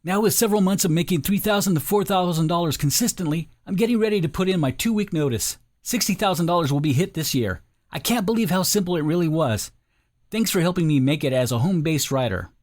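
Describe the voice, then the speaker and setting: Guy putting on young dude customer, higher voice, earnest and enthusiastic, sales video testimonial. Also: expressive, fast talker, a little mellow, natural voice. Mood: earnest, relieved. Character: relieved, grateful young male customer, twenty-four. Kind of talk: acted testimonial read.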